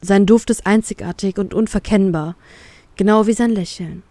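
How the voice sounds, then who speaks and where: A woman's voice: medium pitch, moderate pace, warm tone, no room sound. A woman narrating a scripted first-person story as an audiobook. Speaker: narrator of a fan fiction story.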